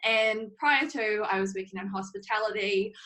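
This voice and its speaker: A young woman with a New Zealand accent, chatting casually as an interview guest, often laughing and filling pauses with "like" and "um". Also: medium pitch, bright, forceful tone, poor audio. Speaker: interview guest, social media manager.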